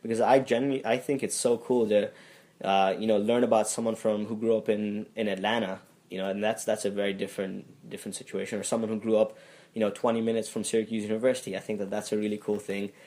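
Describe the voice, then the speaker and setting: A young man from Tanzania answers interview questions in a low voice. He speaks fast and in a fairly flat, even tone, often restarting his sentences as he thinks aloud. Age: young adult. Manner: thoughtful, many fillers and restarts. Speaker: interviewee, student. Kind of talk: casual interview.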